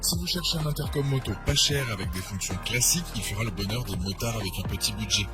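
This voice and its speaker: A man's voice: fairly low pitch, fast talker. A man presenting a scripted product review as narration. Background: background music.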